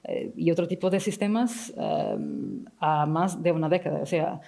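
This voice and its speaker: Portuguese lady on a panel, soft darker voice, foreign accent, kinda halting as she finds words. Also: sits low, very animated, vocal fry. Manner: halting, searching for words in a second language. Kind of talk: unscripted panel discussion.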